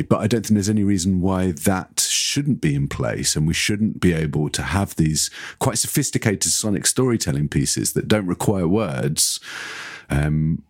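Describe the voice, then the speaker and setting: Deep-voiced man, slightly husky, talking thoughtfully. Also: dry recording, studio quality. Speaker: podcast co-host. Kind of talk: casual analytical discussion.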